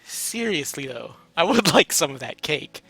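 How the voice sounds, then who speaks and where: Male narrator, casual story reading, gravelly creak, bright tone, informal and playful, faint music behind. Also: on the high side, sing-song, unhurried.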